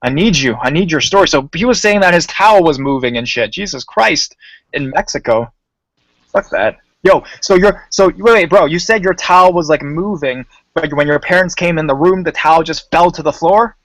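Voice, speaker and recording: A man hosting a voice chat in a relaxed, slangy way, calling the guests "bro" and pressing them with questions. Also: a little high, moderate pace, no echo, low-quality recording. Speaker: host.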